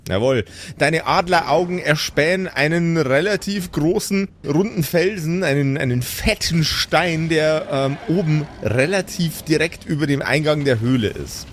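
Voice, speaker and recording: A man's voice: on the high side, measured pace, slight background noise. A man acting as game master, improvising scene descriptions for a tabletop role-playing game.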